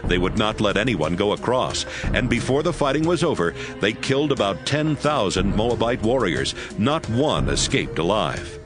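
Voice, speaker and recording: A man reads scripture as a narrator in a steady, measured way. His voice sits low. Music plays clearly behind him throughout. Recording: narration over background music.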